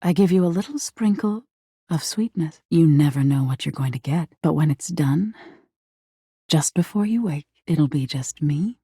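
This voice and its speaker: A woman playing a living nightmare spirit in a video game, flirty and menacing yet secretly insecure, with a breathy, teasing delivery that often drops into whispers. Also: low voice, lively intonation, normal speed, mellow, no echo, studio quality, heavily acted. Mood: tender, teasing. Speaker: voice actor in a dating simulator game. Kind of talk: intimate theatrical video game acting.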